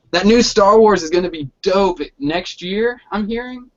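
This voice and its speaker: A man chatting casually in slangy, sweary language over an online hangout call with dull, lo-fi sound. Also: sits high. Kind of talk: casual chat. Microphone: online video hangout.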